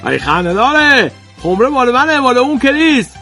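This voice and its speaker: A man's voice: very high-pitched, sing-song, music in the background, pitched above the performer's own voice, full character voice. A man voicing a pompous landowner who talks in an agitated, raised voice. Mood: indignant. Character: fussy, pompous landowner man, quick to panic.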